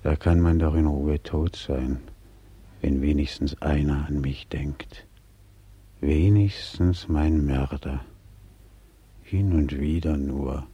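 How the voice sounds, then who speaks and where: An actor playing a drowned man's reproachful ghost in a 1940s radio drama, speaking deliberately and quietly accusing. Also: highs cut off, heavily acted. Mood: resigned, haunting. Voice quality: very deep, husky, breathy at times. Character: drowned one-legged man, a slow reproachful ghost. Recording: old 1940s radio recording.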